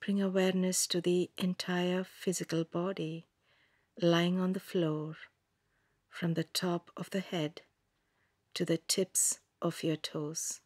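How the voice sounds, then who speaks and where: A woman guiding a yoga nidra meditation in a calm, soothing manner, giving gentle instructions in a soft, breathy voice with long pauses. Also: fairly low pitch, no room sound, studio quality. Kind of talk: scripted guided meditation narration.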